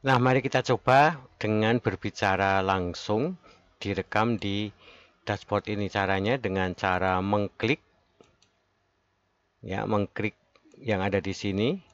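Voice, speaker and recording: A man giving a step-by-step software tutorial as its presenter, recorded as a voice-over. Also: fairly low pitch, fairly even intonation, measured pace, long pauses, no echo. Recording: dull lo-fi voice-over.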